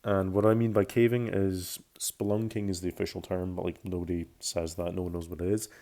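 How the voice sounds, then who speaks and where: Low, mellow young man with creaky voice. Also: little pitch movement, fairly quick. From Belgium, Scotland and France. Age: early 20s. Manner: rambling, self-correcting, restating phrases. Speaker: YouTuber. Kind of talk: casual video commentary about himself.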